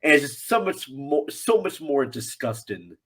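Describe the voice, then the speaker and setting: Mid-pitched, rambling man on a podcast. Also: very animated, a little slow, a bit bright, breathy edge. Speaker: podcast guest. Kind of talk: casual film discussion.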